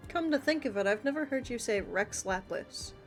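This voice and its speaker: A woman's voice: a little high, expressive, moderate pace, faint music behind. A woman livestreaming a game, reading along and commenting casually. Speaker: streamer.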